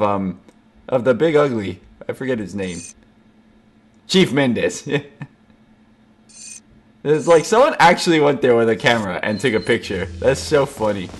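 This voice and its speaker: Goofy man with lively, swinging gameplay commentary. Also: medium pitch. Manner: goofy, mocking, exclaims often. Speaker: gamer commentator. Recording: game sound noise behind voice. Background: video game ambience.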